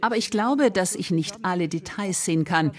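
A woman with a low-pitched voice narrates a radio feature as its reporter. She reads from a prepared script, including translated statements. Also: moderate pace.

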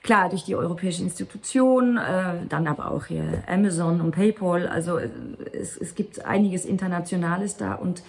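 A woman's voice: lowish voice, normal speed, vocal fry, hardly any pauses. A woman in her thirties, a Luxembourgish native speaker, talking reflectively as an actress in an interview, with restarts and light laughs. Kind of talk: candid interview conversation.

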